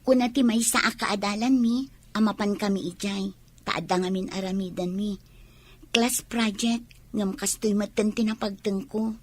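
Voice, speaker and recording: A woman's voice: medium pitch, fairly even intonation, moderate pace, natural voice. A woman with an Ilocano accent playing a coy, scheming young college girl in a radio drama.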